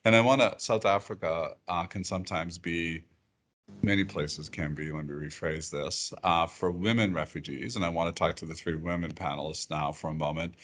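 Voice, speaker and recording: A middle-aged man with a very low voice moderates a roundtable discussion. He asks questions in a measured, thoughtful way. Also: steady tone, fairly bright tone. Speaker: university president, moderator.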